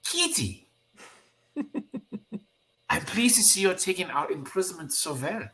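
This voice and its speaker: A man's voice: high-pitched, very animated, a little slow, sharp tone, pitched above the performer's own voice, exaggerated voice. A man voicing a kindly, protective comic-book hero who talks in courtly, theatrical phrases. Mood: impressed. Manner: courtly, fussing concern in formal phrases.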